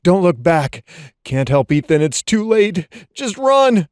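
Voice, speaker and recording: A man's voice: high voice, very animated, slow pace, a little mellow, no room sound, clean studio sound, voice pushed up, exaggerated voice. A man voicing a terrified, guilt-ridden young man fleeing for his life, pleading frantically in an audiobook thriller. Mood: anguished, desperate. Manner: frantic, pleading, urgent repeated exclamations. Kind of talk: audiobook thriller character acting.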